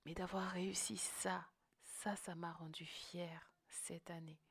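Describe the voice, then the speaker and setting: A woman's voice: on the low side, level tone, slow, vocal fry, breathy edge. A woman hosting a motivational coaching podcast, speaking emphatically and often checking in with the listener. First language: French-English bilingual.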